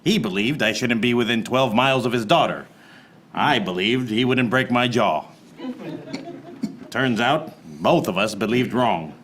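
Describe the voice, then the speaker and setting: An actor playing a wisecracking, hard-boiled private eye, narrating a noir parody radio play in a deadpan, wry way. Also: male voice, medium pitch, measured pace, lightly acted. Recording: noticeable background noise.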